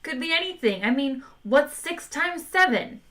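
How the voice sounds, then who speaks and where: A woman's voice: high-pitched, animated, moderate pace, sharp tone. A bewildered, polite ordinary man frets his way through each line, baffled and a bit defensive. A woman telling the story voices him. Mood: puzzled. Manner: puzzled, fretful.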